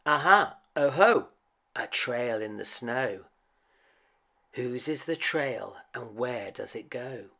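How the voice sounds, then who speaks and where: A man's voice: medium pitch, animated, slow pace, creaky, sharp tone, exaggerated voice. A man voicing a bold, inquisitive young female monster child from a storybook, exclaiming and questioning. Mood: eager, curious.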